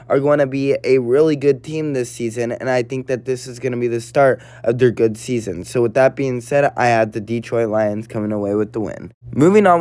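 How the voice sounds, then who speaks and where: A man with a raspy, slightly hoarse voice, hosting casual sports prediction commentary in a matter-of-fact way. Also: mid-range voice. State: hoarse from partly lost voice. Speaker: sports prediction video host.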